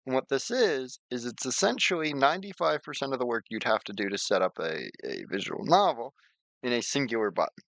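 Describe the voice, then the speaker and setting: A man presenting an informal software tutorial, rambling a little, repeating phrases and thinking aloud. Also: mid-range voice, sing-song, a little fast, vocal fry, no room sound. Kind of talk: informal screen-share software demonstration.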